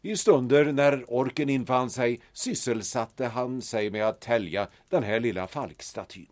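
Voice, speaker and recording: A man playing a courteous, nostalgic older gentleman in an audio drama, telling things in a formal, theatrical way. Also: mid-range voice, natural voice. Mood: nostalgic, dramatic.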